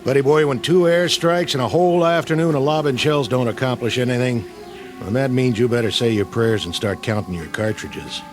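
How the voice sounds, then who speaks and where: An actor playing a weary, wisecracking army sergeant in a radio drama, talking in gruff, sarcastic slang. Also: male voice, medium pitch, fairly quick, faint music behind, natural voice. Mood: weary, wry.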